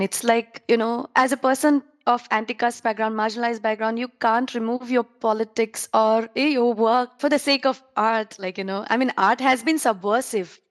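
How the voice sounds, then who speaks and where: Musing woman interviewer, a bit high-pitched. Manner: warm, musing, with fillers like "you know". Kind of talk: conversational interview.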